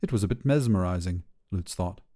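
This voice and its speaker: A man reading short fiction as a podcast narrator, in a measured, careful way. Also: low voice, mellow.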